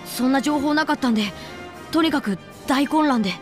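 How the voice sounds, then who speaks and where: A woman's voice: sits high, brisk, soft background music, lightly acted. A woman voicing an eager, easily flustered boy soldier in a video game, earnest and prone to stammering. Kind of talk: video game cutscene acting.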